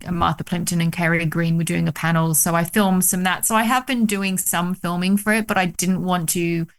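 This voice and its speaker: Woman, gravelly, lowish voice, excited storyteller, video call guest. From United Kingdom. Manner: excited storytelling, many fillers. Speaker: documentary director, guest. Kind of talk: casual interview chat.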